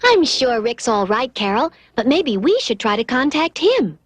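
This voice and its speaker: A woman's voice: on the high side, big pitch swings, moderate pace. A woman playing a cheerful, whimsical magical schoolteacher in a cartoon, theatrical and encouraging. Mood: concerned. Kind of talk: 1970s cartoon voice acting. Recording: music behind the voice.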